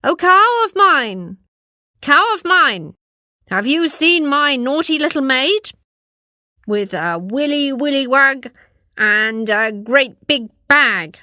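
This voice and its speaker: A woman's voice: high voice, expressive, slow, bright, forceful tone, no room sound, studio quality, voice pushed up, heavily acted. A woman voicing a nasty old storybook witch, angry and chanting in sing-song rhyme. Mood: angry wailing. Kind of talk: storybook character voice.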